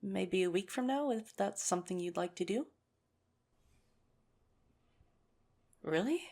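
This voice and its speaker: A woman playing a nerdy, earnest young teacher shyly courting a crush in a conversational ASMR romantic roleplay, gently self-mocking and a little hesitant. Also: mid-range voice, animated, fairly quick. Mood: shy, hopeful.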